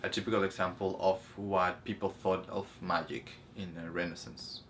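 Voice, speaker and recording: A man's voice: deep voice, fairly even intonation, normal speed, a bit bright, slight background noise. A man co-hosting a casual movie discussion, explaining things in a hesitant, self-correcting way. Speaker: co-host of a spirituality review show.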